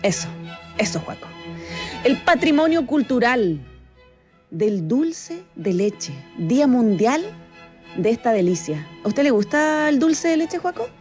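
A Chilean woman hosts live radio in a chatty, conversational style, with a fairly high voice. She addresses her listeners and colleague directly, and light music plays behind her. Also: very animated, unhurried, a little mellow. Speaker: radio host. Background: background music.

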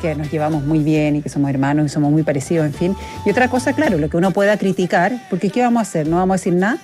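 High-voiced, rapid co-host. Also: male voice, a little mellow, soft background music. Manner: emphatic, rhetorical questions. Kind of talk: casual sports chat.

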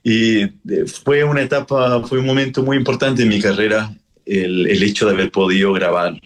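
A man's voice: on the low side, level tone, normal speed. A man from Chile, a classical singer explaining his craft in a radio interview, thoughtful and full of fillers.

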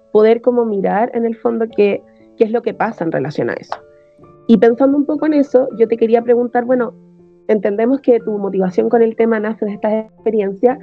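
A woman's voice: on the high side, narrow, tinny audio. A woman hosting an interview, talking fluently and conversationally. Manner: fluent, conversational, uses colloquial fillers. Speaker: interviewer, host. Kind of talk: interview chat.

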